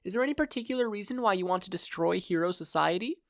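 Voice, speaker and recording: A man's voice: high-pitched, expressive, fast talker, creaky, dry recording, studio quality, voice pushed up. A man voicing a kidnapped mother who is courteous, inquisitive and dryly unimpressed, in a story reading. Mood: polite, probing.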